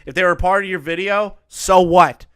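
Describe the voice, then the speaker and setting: Bright-voiced blunt commentator man. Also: high voice, fairly even intonation, moderate pace, clear, resonant tone. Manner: blunt, profane, mocking. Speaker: commentary channel host. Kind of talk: reaction commentary.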